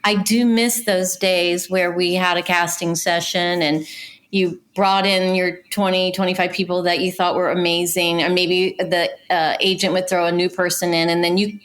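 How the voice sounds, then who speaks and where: A woman's voice: on the low side, a bit bright. A middle-aged woman talking casually and conversationally as a guest casting director in an interview.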